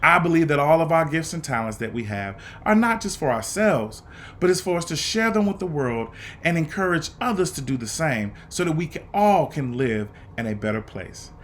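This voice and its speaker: A man reading a children's story aloud to students, with deliberate, emphatic phrasing and an earnest, encouraging manner. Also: a little high, big pitch swings, a bit bright, slight background noise. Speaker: guest reader for students.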